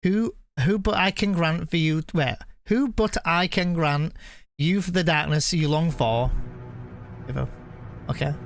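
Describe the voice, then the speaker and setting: A man live streaming a video game, chatting casually and reading the on-screen text aloud in a halting way, often correcting himself. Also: a little high, gravelly, faint music behind.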